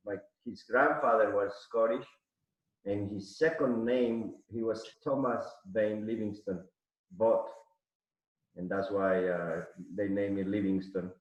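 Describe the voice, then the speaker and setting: A middle-aged man from Mexico City, a podcast guest telling rambling stories full of restarts and fillers. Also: lowish voice. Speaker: podcast guest, arm wrestler. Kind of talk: casual interview storytelling. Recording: echoey, muffled audio.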